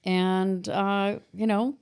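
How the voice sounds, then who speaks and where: A woman in her forties, a podcast guest, telling her life story in a reflective, halting way. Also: mid-range voice, level tone, a little mellow, clean tone. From Boston, grew up in San Diego. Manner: reflective, halting, with restarts and fillers. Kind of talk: personal story in a podcast interview.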